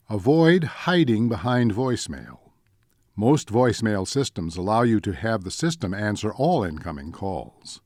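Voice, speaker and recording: A man reading scripted instructional narration in a measured, deliberate way, like a training presenter. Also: lowish voice, darkish tone, dry recording, studio quality. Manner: measured, deliberate, enumerating points.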